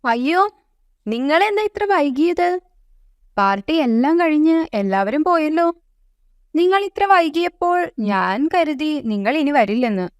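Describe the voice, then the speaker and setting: A woman's voice: high-pitched, no room sound, clean studio sound. A woman voicing a stern big-brother bear in a children's story, scolding and exclaiming. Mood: feigned surprise. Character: stern older-brother male bear, fed up but hospitable.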